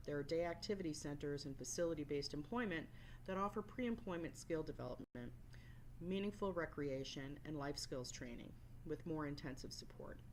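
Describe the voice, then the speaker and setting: A woman's voice: low voice, slight background noise, low-quality recording. A woman teacher giving a scripted, explanatory training presentation as a voice-over. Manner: instructional, explanatory. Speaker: work-based learning resource teacher.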